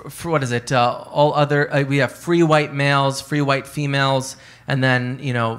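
A man's voice: mid-range voice, a bit bright. Man lecturing into a handheld mic. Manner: conversational lecturing with many fillers and hedges. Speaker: panelist. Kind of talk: academic conference talk. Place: hotel conference room. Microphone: handheld microphone.

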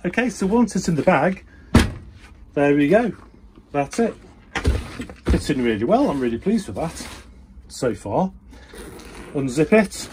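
A middle-aged British man talking casually and unscripted, with some hesitation, as he demonstrates something hands-on in a small workshop. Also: a little high. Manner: unscripted, hesitant, thinking aloud. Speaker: hobbyist presenter. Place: small wooden workshop, indoors. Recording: noisy room ambience, handheld camera. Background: clear background noise.

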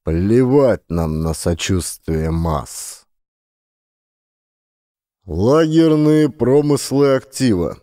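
A man narrating a memoir audiobook with a dark, mellow voice, grave and measured, leaning hard on his words. The sound is close and dry, with no room around it. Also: mid-range voice, lively intonation, dry recording. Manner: measured, grave, slow emphatic delivery. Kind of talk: scripted first-person memoir narration.

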